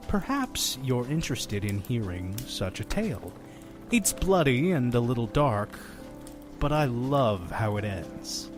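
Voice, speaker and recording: A faint bed of music sits under a fussy male innkeeper, in a scripted horror audio drama. His voice sits a little above the deepest men, around the middle of the range. He speaks with dramatic, deliberate phrasing and a sardonic edge, like a host spinning grisly tales for guests. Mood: confiding, inviting. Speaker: storytelling host of a horror anthology.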